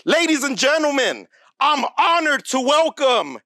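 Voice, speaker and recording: Male host, very high voice, formal announcer delivery, dry close sound. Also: big pitch swings, measured pace, bright, forceful tone, no echo. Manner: formal announcer-style introductions. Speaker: show host. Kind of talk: scripted intro and interview questions. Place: studio.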